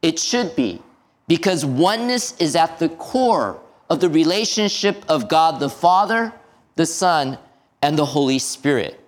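A male pastor delivering a sermon in a slow, measured way, letting his voice fall at the end of each phrase. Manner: slow, measured preaching with lively pitch and drops at phrase ends.

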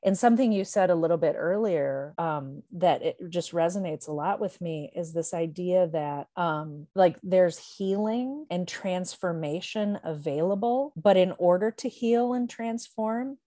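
A woman talking reflectively about personal matters in a thoughtful, measured way, pausing to find the right words. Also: on the low side, dark tone, no echo, clean studio sound.

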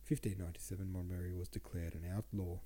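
A man's voice: low voice, quick pace, husky. A podcast host, a man, reading old poems aloud and chatting about them, sniffly and congested. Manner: reads verse aloud with relaxed asides. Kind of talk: casual poetry reading and commentary.

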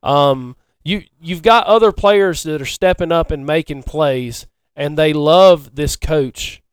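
A man co-hosting a casual sports chat, speaking haltingly and often repeating himself. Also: a little high, clear, resonant tone, no room sound. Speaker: podcast co-host.

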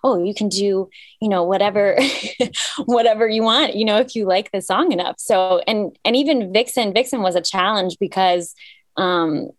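A woman's voice: medium pitch, normal speed, no room sound, clean studio sound. A young woman singer from Cyprus, talking casually and upbeat about her music in an interview, with lots of fillers. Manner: chatty, filler-heavy, upbeat storytelling. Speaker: singer guest.

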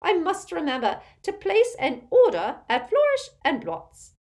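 A woman's voice: extremely high pitch, wildly swooping pitch, unhurried, darkish tone, voice pushed up, full character voice. A woman voicing a haughty, spiteful, tipsy old witch aunt in a storybook, cackling and screechy, with an emphatic gossipy manner. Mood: imperious.

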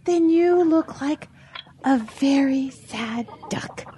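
A woman voicing a gentle, pious chicken in an audio drama, speaking in an earnest, patient, preachy way. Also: high-pitched, slow pace, slight background noise. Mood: concerned, firm.

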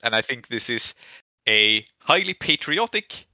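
A man running a tabletop game as game master, improvising narration in casual, halting speech as he thinks aloud. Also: mid-range voice, sing-song, bright, forceful tone. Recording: echoey room, muffled dull audio.

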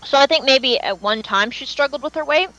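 A woman's voice: high-pitched, lively intonation, a little fast, sharp tone, lo-fi sound. A woman co-hosting a fan podcast, chatting casually in a slangy, emphatic, opinionated way. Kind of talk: casual chat.

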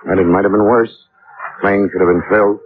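A man's voice: on the low side, steady tone, a little fast, lightly acted. An actor playing an authoritative male police captain in 1950s radio drama style, stolid, blunt and official. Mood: grim, practical. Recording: phone-like, hissy old radio recording.

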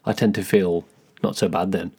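A man co-hosting a casual podcast with a very low voice. He is easygoing and teasing and laughs readily. He talks in a relaxed, conversational way. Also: normal speed, breathy edge. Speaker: podcast co-host. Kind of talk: casual chat.

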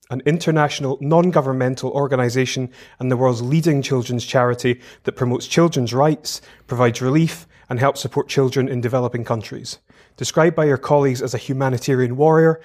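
A man's voice: medium pitch, darkish tone. A man hosting an interview podcast, polite and encouraging toward his guest. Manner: polite, encouraging, frequent affirmations. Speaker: podcast host.

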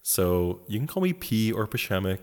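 A man's voice: lowish voice, big pitch swings, normal speed, darkish tone, big-room sound. A man from Poland, with Polish as first language, co-hosting a podcast in campy, joking casual chat from his home. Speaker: podcast co-host. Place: house in Poland.

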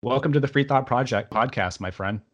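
A man hosting a podcast, reading a measured, welcoming introduction and then asking his guest a question. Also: mid-range voice, a bit bright, lo-fi sound. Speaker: podcast host.

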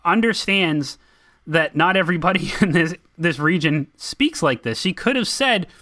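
A man's voice: fairly high pitch, lively intonation, normal speed, pushed and bright. A man with a Boston accent, co-hosting a film podcast and making his points emphatically. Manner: emphatic, opinionated. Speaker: podcast co-host. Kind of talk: casual film discussion.

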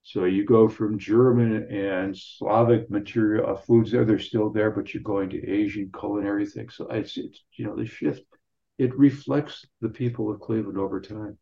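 An older man speaking as an interview guest, explaining and recounting in a conversational, halting way with repeated words. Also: lowish voice, fairly even intonation, creaky, warm tone. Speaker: guest local historian. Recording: slightly dull, lo-fi sound.

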